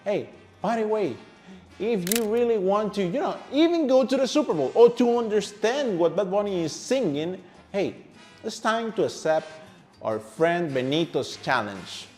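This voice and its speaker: A man with a fairly high voice and a Venezuelan Spanish accent teaches as a native Spanish speaker. He hosts the lesson in a playful, animated style, chatting straight to the viewer with questions and asides. Music plays along behind his voice. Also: normal speed. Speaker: language teacher, video host. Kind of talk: upbeat, conversational scripted lesson to camera.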